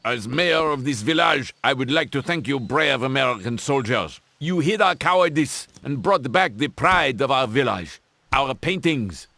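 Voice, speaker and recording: A man voicing a solemn, grateful village mayor in an animated comedy game. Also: medium pitch, normal speed. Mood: solemn gratitude.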